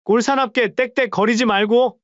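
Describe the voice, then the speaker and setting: A man's voice: extremely high pitch, steady tone, normal speed, no echo. An actor plays an annoyed young husband, a man who brushes others off. He speaks with a dismissive edge. The audio drama recording is dry and slightly dull. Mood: scornful. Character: irritated, dismissive young husband and son-in-law. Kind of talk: Korean audio drama dialogue.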